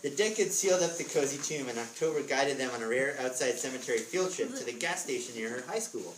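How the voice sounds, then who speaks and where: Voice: mid-range voice, expressive, fast. A narrator reading a story aloud in readers theatre style, moving between narration and dialogue. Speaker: author reading own book as narrator. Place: live author visit, indoor room. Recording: roomy, distant mic with clear noise.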